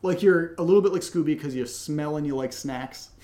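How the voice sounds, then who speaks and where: A man co-hosting a podcast in casual chat, even-keeled, with dry, teasing humour. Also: fairly high pitch, a little fast. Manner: level-headed, even-keeled. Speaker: co-host of a horror audio drama podcast, reading listener questions.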